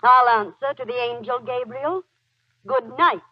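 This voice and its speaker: High-voiced, fond but firm wife. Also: female voice, a little slow, no room sound. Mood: reproving. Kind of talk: 1940s radio acting. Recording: dull, lo-fi 1940s radio recording.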